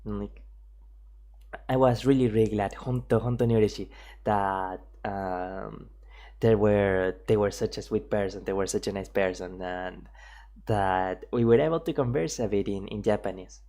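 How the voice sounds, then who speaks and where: A man's voice: lowish voice, moderate pace, warm tone. A man streaming, chatting casually and rambling with frequent restarts. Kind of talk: casual livestream commentary.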